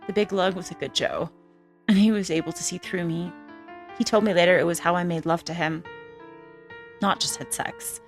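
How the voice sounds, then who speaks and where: A woman's voice: on the low side, normal speed, gravelly, long pauses. An actress playing a hard-boiled female ex-trafficker, narrating her own story in a frank, crude, wry way for a film. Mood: confiding, wry. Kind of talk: first-person film narration. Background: music.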